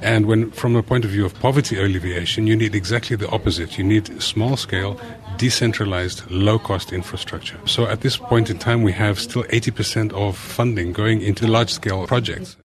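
A man's voice: fairly low pitch, steady tone, fairly quick, few pauses. A man giving an explanatory interview answer as an activist, point by point. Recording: busy crowd behind the voice. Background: crowd chatter.

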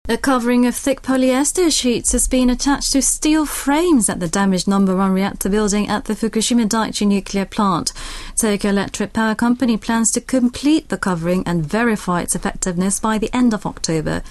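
Woman for scripted international radio news; average pitch, echo-free recording. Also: brisk, darkish tone. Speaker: news reader.

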